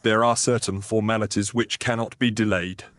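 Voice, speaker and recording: A man voicing a grave, dutiful Victorian police inspector with a formal, deliberate, official manner. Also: lowish voice, steady tone, fairly bright tone, no room sound, lightly acted. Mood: official, firm.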